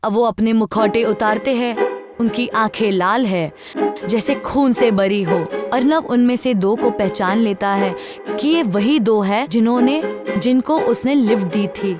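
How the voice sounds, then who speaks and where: A woman's voice: on the high side, a little slow, music in the background. A woman reading scripted narration for a horror story as the storyteller.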